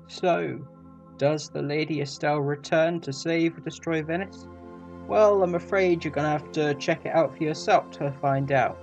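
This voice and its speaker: A man giving an informal scripted review, with halting, broken phrasing. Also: fairly high pitch, darkish tone. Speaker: audio drama reviewer. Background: faint music.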